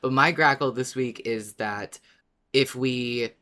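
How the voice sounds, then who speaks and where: Chatty podcast co-host, mid-low androgynous voice. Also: takes it slowly, bright, forceful tone. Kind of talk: casual fan podcast discussion.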